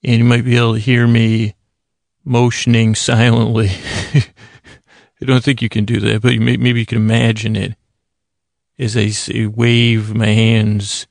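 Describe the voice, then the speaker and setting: An American man hosting a sleep podcast with a rambling, improvised bedtime monologue, halting often and interrupting himself as he wanders into tangents. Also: medium pitch, completely flat delivery, gravelly, no echo, studio quality. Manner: halting, meandering, self-interrupting with frequent tangents and pauses. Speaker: podcast host.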